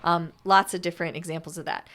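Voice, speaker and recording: A woman with a voice a little on the low side talks as a podcast co-host. She speaks casually and without a script, explaining things in detail and dropping in conversational asides. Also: fairly even intonation, fast talker, fairly bright tone. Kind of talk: casual unscripted podcast chat.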